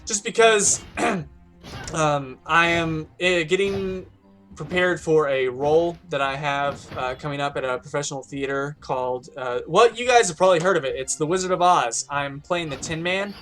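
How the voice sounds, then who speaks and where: A man with a hoarse, strained voice hosting casual gameplay commentary, rambling with lots of restarts. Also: fairly high pitch, moderate pace, fairly bright tone, soft background music. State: hoarse, a little winded. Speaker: gaming channel host.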